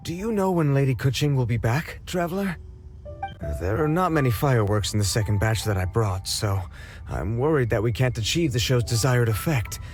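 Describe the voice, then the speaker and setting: A man's voice: medium pitch, expressive, darkish tone, soft background music, close to an everyday voice. A man voicing a worried, fussy middle-aged fireworks merchant in a game cutscene. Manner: worried, fussy, quick to anger.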